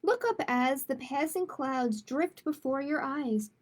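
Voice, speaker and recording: A woman speaking as a children's storytime host, in a measured manner suited to picture books and simple chat with families. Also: sits high. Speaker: children's librarian hosting storytime. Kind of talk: picture book read-aloud, then casual announcements.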